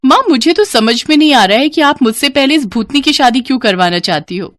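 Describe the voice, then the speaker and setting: A woman's voice: sits high, animated, brisk, fairly bright tone, dry recording, clean studio sound, pitched above the performer's own voice. A woman voicing a vain, haughty young sister who sneers cruelly, in a cartoon moral story. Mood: whining scorn.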